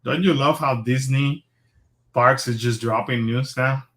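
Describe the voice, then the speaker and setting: A man from Puerto Rico hosting a casual livestream, chatty and relaxed, with a lot of filler words. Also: medium pitch, unhurried. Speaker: host.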